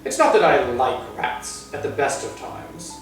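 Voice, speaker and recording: A man's voice: medium pitch, sing-song, a little slow, soft background music. Casting: man to play a smug, silky cat from a children's tale, cruelly playful and unimpressed; distant, very roomy sound. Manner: smooth, conversational, superior. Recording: very distant, echoey room.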